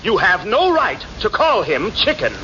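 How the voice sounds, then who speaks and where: An actor playing a flustered, aggrieved young boyfriend, earnest and agitated, in a 1940s radio comedy. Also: male voice, high-pitched, sing-song, a little slow. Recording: dull, very noisy 1940s radio recording.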